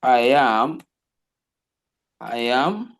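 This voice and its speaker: Slow-paced man teaching code. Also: medium pitch, animated, creaky, smooth. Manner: slow, repetitive step-by-step explaining, asks rhetorical questions. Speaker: web development teacher. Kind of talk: live coding class walkthrough.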